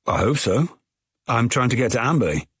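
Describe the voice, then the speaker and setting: A man's voice: medium pitch, big pitch swings, normal speed, a bit bright, slightly rough, no room sound, voice pushed up, heavily acted. A man voicing a weary first-person traveller who speaks politely and insistently.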